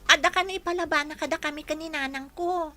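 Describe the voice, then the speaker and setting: A woman's voice: high voice, fairly even intonation, fast talker, pushed and bright, soft background music. A woman playing a poor, dutiful young schoolgirl in a radio drama, earnest and polite. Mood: hurt, defensive. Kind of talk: Filipino radio drama acting.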